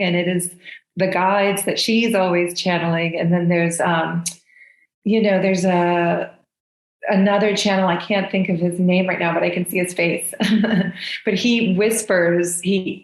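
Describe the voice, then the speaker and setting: A woman's voice: medium pitch, roomy sound. A woman speaking as a podcast guest, answering casually and conversationally in an effusive, enthusiastic manner. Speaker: podcast guest, spiritual channeler.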